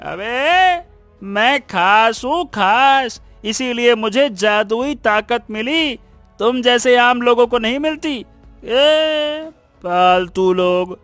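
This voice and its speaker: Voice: medium pitch, expressive, slow pace, a bit bright, no room sound, faint music behind. A performer voicing Raju, an impulsive, emotional young son in a cartoon moral story, often repeating words. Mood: arrogant. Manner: stammering, repeats words when anxious. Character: Raju, greedy boastful young man turned desperate, remorseful son.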